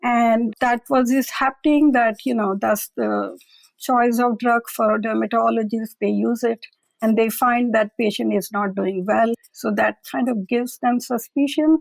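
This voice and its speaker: Woman lab scientist, gravelly voice, explains things plainly. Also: on the high side, fairly even intonation, a little slow, dry recording, rough recording. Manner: explanatory, plain-spoken, with occasional fillers. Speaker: public health lab scientist. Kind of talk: expert explaining in interview.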